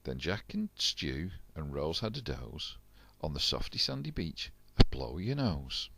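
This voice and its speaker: An older man reading a rhyming picture book aloud to his grandchildren in an animated, rhythmic way. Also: extremely low pitch, measured pace, vocal fry.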